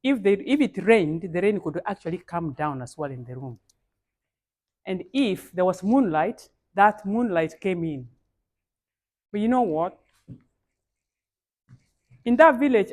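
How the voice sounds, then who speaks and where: A woman's voice: medium pitch, darkish tone. A woman with a Kenyan accent speaking as a guest at a live event, in an emphatic style that addresses the audience directly and repeats key phrases. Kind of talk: motivational speech.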